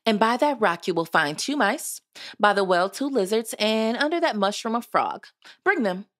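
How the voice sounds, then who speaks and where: A woman voicing a tiny, twittering fairy godmother in a storybook, kindly and bossy, with a brisk, affectionate way of talking. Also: mid-range voice, lively intonation, fairly bright tone, voice pushed up, lightly acted. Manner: brisk, affectionate, chanting rhymed spells.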